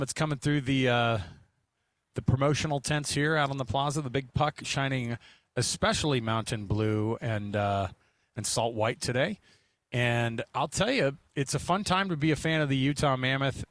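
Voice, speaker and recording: A man's voice: medium pitch, no room sound. An American man hosting a sports radio show in a casual, chatty style. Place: outdoors under promotional tents on a plaza.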